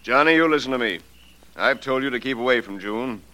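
A man's voice: medium pitch, a little fast, sharp tone, natural voice, poor audio. An actor playing an angry, protective middle-aged father in a 1940s radio drama, scolding emphatically. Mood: angry, threatening.

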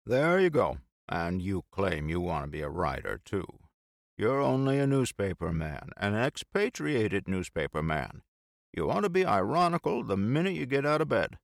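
A man's voice: on the low side, very animated, normal speed, creaky, dry recording, clean studio sound. A man voicing a boisterous, playfully preachy teasing man in audiobook dialogue, with emphatic, bantering delivery. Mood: mock scolding.

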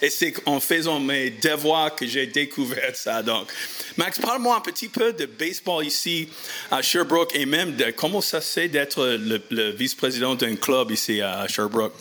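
A man from the United States with an American accent, a native English speaker hosting casually, halting and repeating words as he searches for phrasing. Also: medium pitch, sharp tone. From United States, Missouri. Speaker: show host and interviewer. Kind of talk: casual on-location introduction and interview.

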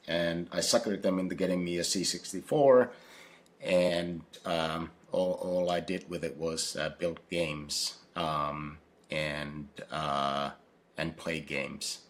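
A man from Finland, answering interview questions in a halting way, full of fillers and restarts. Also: low-pitched, steady tone. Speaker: podcast guest, company founder.

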